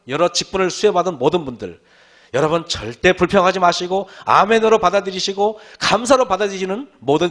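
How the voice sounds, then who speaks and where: Hoarse, high-pitched male pastor preaching. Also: a bit bright. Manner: earnest preaching, often calling out to the listeners and asking rhetorical questions. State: hoarse, often clearing his throat. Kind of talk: sermon to a congregation.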